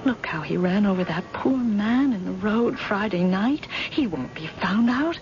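An actress playing a bitter, fearful, scheming wife with an intense, melodramatic delivery in a radio drama. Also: female voice, medium pitch, lively intonation, measured pace, a little mellow. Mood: bitter, despairing. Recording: muffled, noisy old radio broadcast.

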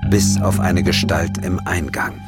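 Low grim detective narrator, music underneath. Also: male voice, fairly even intonation, a little slow. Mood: ominous. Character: grim male detective narrating his own horror case. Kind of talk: audio drama first-person narration.

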